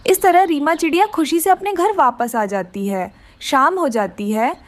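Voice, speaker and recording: Female narrator, high pitch, scripted children's storytelling, faint background noise. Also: expressive, measured pace.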